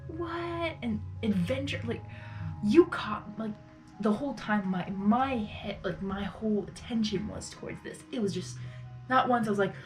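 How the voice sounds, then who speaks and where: A woman's voice: on the high side, lively intonation, measured pace, faint music behind, poor audio. A woman giving casual live reaction commentary as a video host, effusive and rambling, often repeating her phrases.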